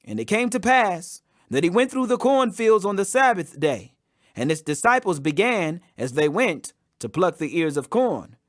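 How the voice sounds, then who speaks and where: High-voiced man reading scripture aloud. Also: big pitch swings, normal speed. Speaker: scripture reader. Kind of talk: reading Bible chapter aloud.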